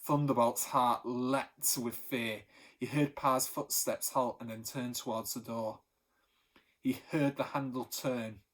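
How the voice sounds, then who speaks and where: Teacher guy reading a kids' book, husky voice, lots of expression. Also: mid-range voice, slow. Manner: expressive storytelling. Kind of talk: reading a children's novel aloud.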